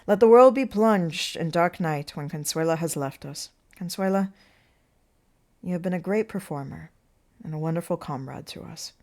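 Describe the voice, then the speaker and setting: A woman voicing a male circus clown who gives a loud, grandly poetic farewell in a stage play reading. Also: lowish voice, normal speed, natural voice. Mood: grand, wistful. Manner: loud, oratorical.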